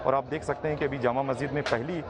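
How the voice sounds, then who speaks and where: A man's voice: medium pitch, fairly even intonation, fast talker. A man giving an unscripted statement to news media on location. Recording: outdoor crowd noise behind voice.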